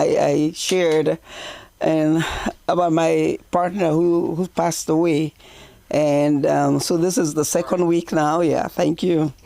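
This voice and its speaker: A woman at an open mic, sharing something personal in a hesitant, halting way. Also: on the low side, vocal fry, a little mellow.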